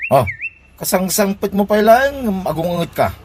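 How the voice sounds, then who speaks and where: An actress with an Ilocano accent playing a gossipy, skeptical, sharp-tongued woman in a comedy radio drama. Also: mid-range voice, very animated, normal speed, background noise, close to an everyday voice. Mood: nosy.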